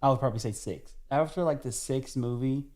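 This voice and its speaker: Chill young dude rambling about movies on a podcast, swears a bit, keeps restarting. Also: male voice, medium pitch, brisk, darkish tone. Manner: laid-back, rambling, restarts and swears casually. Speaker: podcast co-host. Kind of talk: casual chat.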